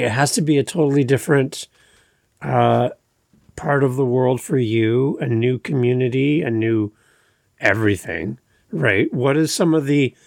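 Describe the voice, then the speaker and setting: A man's voice: mid-range voice, creaky, darkish tone, no room sound, clean studio sound. A man hosting a podcast, welcoming his guest in an eager, upbeat way. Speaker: podcast host. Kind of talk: friendly interview hosting.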